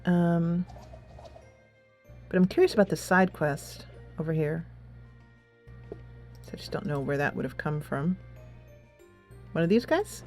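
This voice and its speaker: A woman hosting a casual let's play, chatting in an animated, giggly manner into a close mic. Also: fairly low pitch. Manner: animated, giggly, reads dialogue aloud. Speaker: gaming channel host.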